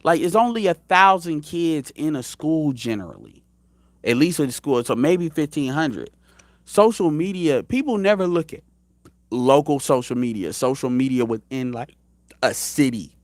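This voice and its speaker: Man in his thirties, host of a commentary show: blunt, profane, rambling, with a lively swinging pitch and a dry close sound. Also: moderate pace, dry recording. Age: 30s. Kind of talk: casual opinion commentary.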